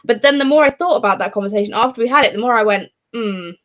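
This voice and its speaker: A woman's voice: fairly high pitch, very fast, a bit bright. A woman in a casual book discussion, speaking informally and reflectively with plenty of swearing. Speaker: participant in a live book readalong discussion. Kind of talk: casual chat about books.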